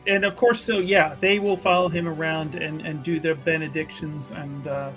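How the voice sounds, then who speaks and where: A man's voice: on the high side, fairly even intonation, moderate pace, a bit bright, soft background music. A man improvising narration of his character's actions in a tabletop roleplaying game, hesitant and wordy with flowery phrasing.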